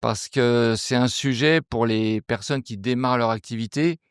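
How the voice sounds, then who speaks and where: A man's voice: medium pitch, normal speed, smooth, no echo, studio quality. A man hosting a podcast interview in a conversational style, putting questions and short prompts to a guest.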